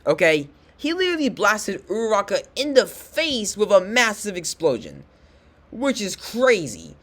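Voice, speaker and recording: A host giving casual video commentary in a rambling way, often repeating and self-correcting. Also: medium pitch.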